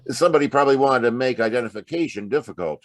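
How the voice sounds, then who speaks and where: A man playing a puzzled, slightly slow-witted homicide lieutenant in an old-time radio detective drama. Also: medium pitch, no room sound, lightly acted.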